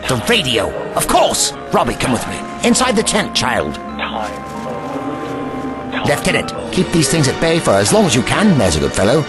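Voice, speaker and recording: A man's voice: mid-range voice, huge pitch swings, moderate pace, over loud music. A man playing an imperious, irascible old time traveller in an audio drama, with pompous, old-fashioned, scolding speech. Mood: urgent. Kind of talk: amateur audio drama acting.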